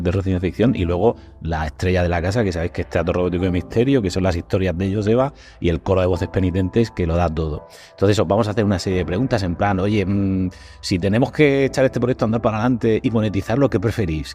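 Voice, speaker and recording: Fast-talking, low-voiced Spanish man hosting a podcast. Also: dark tone. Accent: Spanish from Spain. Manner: informal, colloquial, with slangy swearing. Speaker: podcast host. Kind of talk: casual podcast chat.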